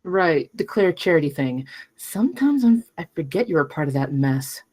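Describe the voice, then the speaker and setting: A woman's voice: low-pitched, lively intonation, normal speed, darkish tone. A woman at a script table read playing a polished, businesslike company manager in her forties. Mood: curt.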